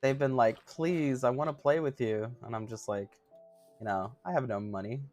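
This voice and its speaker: Giggly high-voiced man streaming. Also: normal speed, darkish tone, faint music behind. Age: 30s. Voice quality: somewhat high-pitched voice. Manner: giggly, chatty, self-mocking. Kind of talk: casual livestream chat answering viewers.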